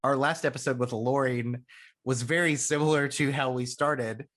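A man's voice: medium pitch, fairly even intonation, normal speed, fairly bright tone. A man hosting a casual podcast chat, talking in a rambling way with many fillers and restarts. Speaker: podcast host.

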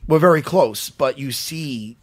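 A man with a loud voice chatting casually as a podcast co-host and fight coach, animated and full of restarts. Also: medium pitch, measured pace. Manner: animated, stumbling restarts, laughs often. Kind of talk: casual chat.

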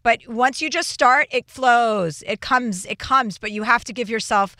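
A woman's voice: on the high side, measured pace, pushed and bright, no room sound, clean studio sound. A woman in her forties hosting a talk show, giving brash, emphatic advice and swearing freely in casual chat.

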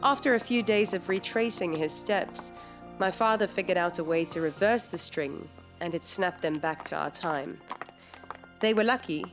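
An actress plays a precise, earnest woman scientist in an audio drama. Her voice sits fairly low, and she explains in a formal, careful manner with music playing behind her. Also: normal speed, natural voice.

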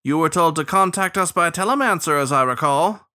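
A man's voice: a little high, lively intonation, fairly quick, sharp tone, voice pushed up. A man voicing a hostile, officious starling lawman in an animal adventure story, with formal, clipped, threatening speech. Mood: hostile exultation.

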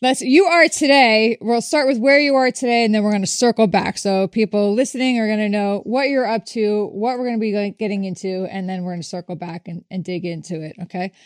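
A woman's voice: mid-range voice, fairly quick, hardly any pauses, no room sound, clean studio sound. A woman hosting a conversational interview in a casual, effusive and encouraging manner. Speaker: interview host, author.

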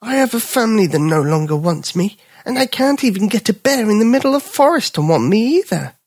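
A storyteller voicing a small old male dog who is polite, gentle and sad. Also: mid-range voice, big pitch swings, fairly quick, no echo, clean studio sound, voice pushed up. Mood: wistful. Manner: polite, wistful.

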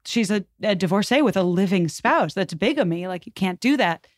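A woman speaking as a podcast guest, chatting casually about history in an animated, colloquial way full of "like" and acted-out asides. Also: medium pitch, brisk, dry recording, studio quality. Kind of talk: casual conversational commentary on history.

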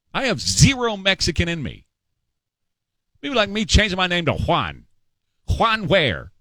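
A man's voice: high voice, fairly bright tone, no echo. A middle-aged man hosting a talk show, giving opinionated political commentary in a sarcastic, mocking, emphatic way.

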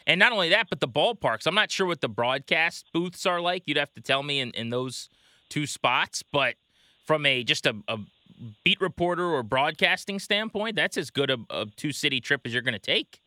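A man's voice: fairly high pitch, animated, normal speed, sharp tone, dry recording, studio quality. An American man hosting a sports podcast, talking fluently and emphatically as he comments and interviews.

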